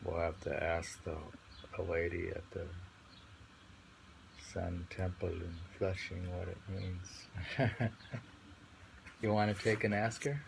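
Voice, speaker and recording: Male poetry reader, gravelly and very unhurried, informal and unrehearsed, faint ambient noise behind. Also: sits low, little pitch movement. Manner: unrehearsed, halting reading. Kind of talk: poetry reading with informal discussion.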